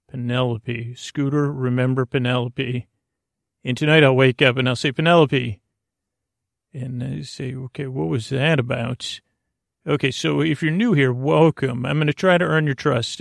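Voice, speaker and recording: A man with a creaky voice hosting a sleep podcast, rambling in a lulling, silly, meandering way with halting self-corrections. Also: mid-range voice, no room sound, studio quality. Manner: lulling, soothing. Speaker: podcast host.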